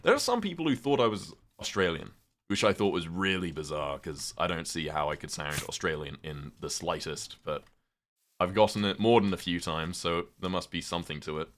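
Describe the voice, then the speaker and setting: A young man with a British accent and a notably deep voice talks loosely to his live chat as he plays. He drifts between topics, filling pauses with "um" and "like". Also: animated, a bit bright. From Britain. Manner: rambling, chatty, lots of "um" and "like". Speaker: streamer. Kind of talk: casual live-stream chat while gaming.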